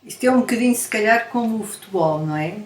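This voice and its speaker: A woman's voice: fairly high pitch, lively intonation, fast. A woman from Portugal with a European Portuguese accent, a scientist being interviewed, explaining with analogies and frequent tag questions. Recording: echoey room, faint noise.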